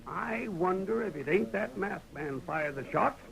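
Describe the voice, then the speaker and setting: Simple, kindly old American prospector: high, creaky, folksy, on noisy vintage radio. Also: male voice, lively intonation, a little slow, fairly bright tone, full character voice. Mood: wondering, grateful. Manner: folksy, plainspoken rustic dialect. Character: simple, good-hearted old prospector sidekick. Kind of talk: 1930s radio western acting. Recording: vintage 1930s radio, hissy background noise.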